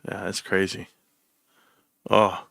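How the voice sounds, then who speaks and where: A man's voice: low voice, little pitch movement, slow, creaky, sharp tone, no room sound. A man with a Southern Californian accent bantering casually as a live stream host, slangy, joking and teasing. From California, United States.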